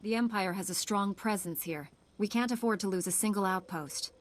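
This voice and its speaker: A woman's voice: mid-range voice, moderate pace, close to an everyday voice. Woman playing a resolute heroic space knight. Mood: serious, concerned. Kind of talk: video game voice acting.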